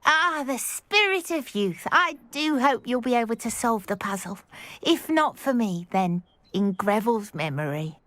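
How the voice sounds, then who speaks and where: A woman's voice: a little high, animated, measured pace, a bit bright. A woman playing a chatty elderly widow in a wizarding game, speaking in a genteel, wordy storytelling way. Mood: chatty, intrigued. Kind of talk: video game character acting.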